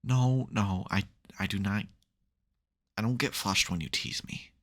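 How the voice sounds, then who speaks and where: A man playing a supervillain nemesis who is secretly soft, teasing dryly and getting flustered in an intimate audio roleplay. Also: on the low side, a little slow. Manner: dry teasing, hesitant, mock-grudging.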